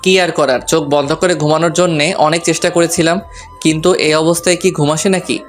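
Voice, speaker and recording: A man's voice: on the high side, steady tone, brisk, faint music behind. A man narrating a first-person romantic story in a playful, colloquial way.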